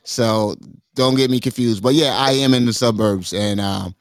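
A laid-back man from New Jersey teases the host in easy banter. His voice sits at a mid pitch and sounds dry and close. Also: normal speed, no echo. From Trenton, New Jersey.

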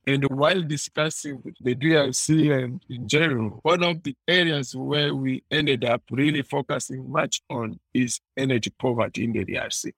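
A man's voice: mid-range voice, fairly bright tone, dry recording, poor audio. A man from eastern DR Congo with a Congolese accent, telling his story conversationally as a podcast guest, explaining and rephrasing as he goes. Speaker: interview guest, clean energy entrepreneur.

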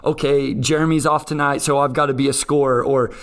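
A man's voice: medium pitch, fairly even intonation, fairly quick. A man hosting a sports podcast in a conversational style. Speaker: podcast host.

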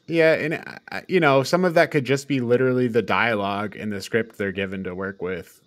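A man's voice: medium pitch, steady tone, brisk, no room sound. Guy hosting a casual review chat, medium voice, dry room sound, pretty blunt. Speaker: podcast host leading the discussion.

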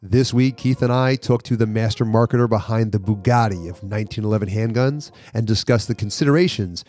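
A man's voice: lowish voice, fairly quick, darkish tone. A male podcast host with a slight cold and congestion, chatting casually and reading sponsor ads. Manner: loose and chatty, reads sponsor spots. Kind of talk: casual podcast chat with ad reads. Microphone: studio podcast mic, dry sound.

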